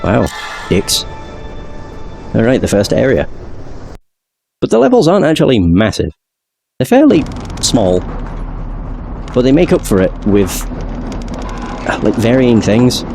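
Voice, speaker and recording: A man streaming a game he has never played, chatting casually and now and then swearing, his voice still deeper than usual as he recovers from illness. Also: a little slow, gravelly, long pauses. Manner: chatty, relaxed, occasional swearing. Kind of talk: casual gaming commentary. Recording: game music playing behind.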